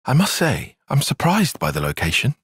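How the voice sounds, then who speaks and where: Precise young soldier strategist, lively pitch. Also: male voice, breathy edge, no echo, clean studio sound, exaggerated voice. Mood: measured, analytical. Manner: formal, measured, precise, dryly sardonic. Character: young male soldier strategist, precise, bookish, wry, easily flustered. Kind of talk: video game cutscene voice acting.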